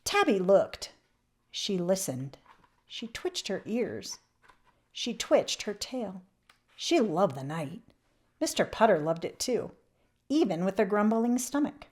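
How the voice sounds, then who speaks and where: A woman reading a children's picture book aloud expressively, with emphatic swells and hushed drops. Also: medium pitch, very animated, takes it slowly, long pauses. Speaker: children's read-aloud channel host.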